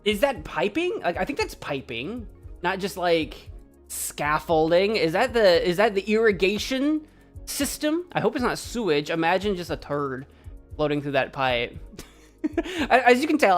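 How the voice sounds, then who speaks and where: Lively, joking woman streamer. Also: on the low side, normal speed, faint music behind. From United States. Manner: chatty, joking, laughs often, thinks aloud. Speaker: game streamer. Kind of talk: casual live-stream commentary.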